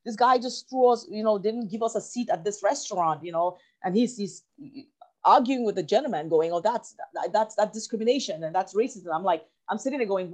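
A woman from Cameroon talking reflectively as an interview guest, halting and repeating phrases as she searches for her words. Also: medium pitch, a little mellow. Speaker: interview guest, writer and actor.

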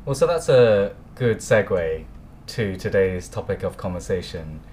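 A man from the United Kingdom hosting a casual podcast chat, friendly and laughing often, with halting restarts. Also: low voice, animated, a little mellow, a little hiss or hum. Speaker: podcast host.

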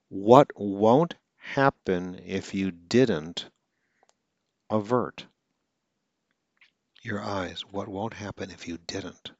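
Man, very deep voice, occasional stutter, earnest coaching podcast, dry dull sound. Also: dry recording. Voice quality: stutters now and then. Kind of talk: instructional podcast monologue.

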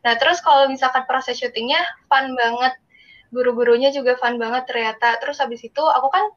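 High-voiced Indonesian teenage girl, muffled audio. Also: fairly even intonation, fairly quick, fairly bright tone. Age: teenage student. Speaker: student interviewee. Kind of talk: casual anecdotal chat.

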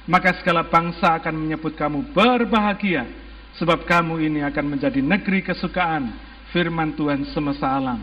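Male pastor, Indonesian accent, high voice, emphatic sermon delivery, exhorting, muffled sound. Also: brisk, bright, forceful tone. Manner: exhorting, emphatic, asks rhetorical questions; mixes English phrases into speech. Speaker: church pastor. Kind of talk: preaching a sermon to a congregation. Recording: muffled, long hall reverb.

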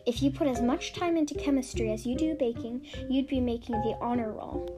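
A woman voicing a brisk, fussy and excitable mother of a young witch. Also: fairly high pitch, music in the background, natural voice. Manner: brisk, scolding then gushing.

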